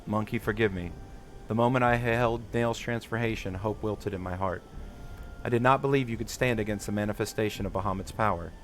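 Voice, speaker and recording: A man's voice: lowish voice, fairly quick, a little hiss or hum, natural voice. A man voicing an earnest, determined young female adventurer from a fantasy game, in formal, old-fashioned speech. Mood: remorseful, hopeful.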